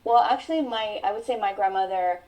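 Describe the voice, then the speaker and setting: A woman's voice: mid-range voice, darkish tone. A woman whose first language is Mandarin, telling her personal story as a podcast guest in an earnest, reflective way. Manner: earnest, reflective, drawn-out phrasing. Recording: voice sounds distant from the mic.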